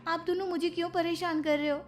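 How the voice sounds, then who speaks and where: A woman's voice: very high voice, steady tone, fast, faint music behind, voice pushed up. A woman playing a wronged young unmarried sister, earnest and defensive, in a protesting, pleading manner. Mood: upset protesting.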